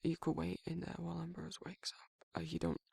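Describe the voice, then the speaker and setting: A performer voicing a big, tender male werewolf who is shy and awkward. The voice is very gravelly and breathy, often dropping toward a whisper, and it stammers and rambles. It is recorded close and dry, as intimate romantic ASMR roleplay. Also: medium pitch, big pitch swings, brisk, darkish tone, no echo, heavily acted. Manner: hesitant, rambling, stammering, bashful.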